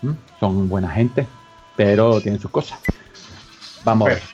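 A man's voice: on the low side, steady tone, unhurried, warm tone, dull audio, soft background music. A man from Spain hosting a comedic radio chat, joking and rambling as he reads out web searches. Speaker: radio show host.

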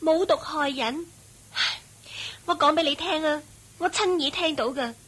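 A woman's voice: high-pitched, unhurried, fairly bright tone. An actress playing a distressed young woman in a radio play, fearful yet defiant.

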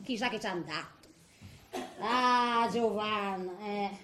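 A woman's voice: medium pitch, takes it slowly, fairly bright tone, reverberant. An actress playing a feisty, grumbling woman of eighty in a theatrical comic monologue, with a Tuscan accent and muttered, exclamatory asides. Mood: mocking. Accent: Tuscan Italian. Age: older. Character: feisty, grumbling eighty-year-old woman in a nursing home.

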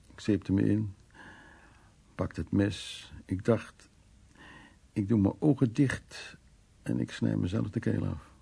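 A man playing Louis, who recounts his troubled past in a Dutch radio play, halting and acting out the remarks of others. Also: on the low side, vocal fry, mellow, slightly rough, heavily acted. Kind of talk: Dutch radio play, intimate dramatic monologue and dialogue.

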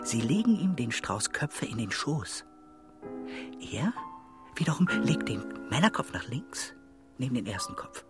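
A performer playing a brooding narrator of a grim, absurd radio play, deliberate and delivered in short repeated bursts. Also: medium pitch, sing-song, over loud music. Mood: ominous.

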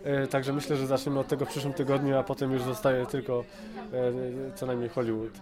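A man's voice: mid-range voice, completely flat delivery, fairly quick. A man giving a casual interview, hesitant and often repeating words, with a tongue-in-cheek streak. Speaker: amateur voice actor being interviewed. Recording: busy crowd chatter behind. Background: crowd chatter.

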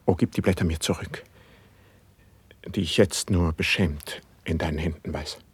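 A brooding, humble yet insistent poet in a classic verse radio drama. The voice is low and husky, recorded very dry. The lines are declaimed with solemn, formal fervor. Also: male voice, fairly even intonation, normal speed, no room sound. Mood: humble, pleading.

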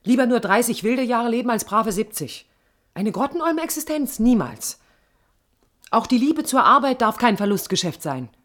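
Animated, defiant young woman architect. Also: a little high, moderate pace. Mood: defiant, passionate. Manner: insistent, challenging. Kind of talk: radio drama dialogue.

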